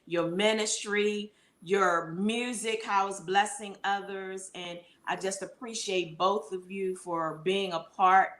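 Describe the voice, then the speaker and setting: A woman's voice: medium pitch, fairly even intonation, takes it slowly, few pauses, fairly bright tone. A woman hosting a casual interview with guests as the show's host. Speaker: show host and playwright. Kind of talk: casual hosted cast interview. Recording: roomy echo, faint background noise.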